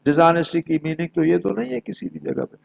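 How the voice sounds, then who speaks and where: A man's voice: fairly high pitch, lively intonation, fast talker, creaky. A man with a Pakistani accent giving an explanatory law lecture, repeating key phrases emphatically and mixing in English legal terms. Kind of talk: explanatory lecture mixed with reading legal text.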